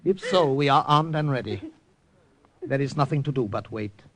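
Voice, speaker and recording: An actor playing a grave, loyal Siamese underground major in an old-time radio drama, speaking in formal, clipped sentences. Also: male voice, medium pitch, lively intonation, normal speed, a bit bright. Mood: grave, resolute.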